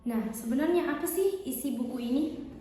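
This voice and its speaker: High-voiced woman hosting in an echoey room. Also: normal speed, dark tone. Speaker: podcast host. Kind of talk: podcast interview, lightly scripted. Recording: echoey hall, distant mic, background noise.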